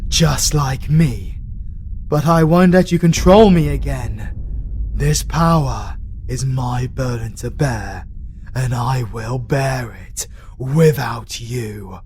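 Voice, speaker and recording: A man with a British accent playing a grief-stricken male demon hunter who speaks haltingly and breaks into anguished shouts. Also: medium pitch, slight background noise. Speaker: voice actor. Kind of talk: fantasy ASMR audio roleplay.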